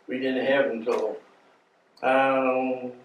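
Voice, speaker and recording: An American man in his nineties, a war veteran, reminiscing informally to an audience in a halting, plain-spoken way. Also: mid-range voice, level tone. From United States. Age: 90s. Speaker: war veteran, guest speaker. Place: indoor meeting room before an audience. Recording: echoey room, distant mic, faint noise.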